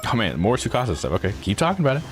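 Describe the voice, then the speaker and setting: A man's voice: medium pitch, wildly swooping pitch, very fast, music in the background. A man streaming a game, giving casual live commentary and musing aloud in a conversational way.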